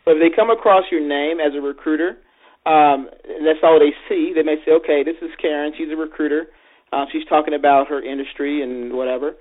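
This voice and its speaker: Male podcast co-host, slightly high voice, reasoning steadily, tinny phone sound. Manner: measured, reasoning, counters calmly. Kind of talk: podcast debate.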